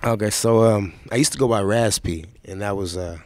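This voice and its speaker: A young man from Seattle with a raspy voice, answering interview questions in a relaxed, rambling way with lots of fillers. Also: on the low side, fairly quick, dry recording. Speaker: rapper guest.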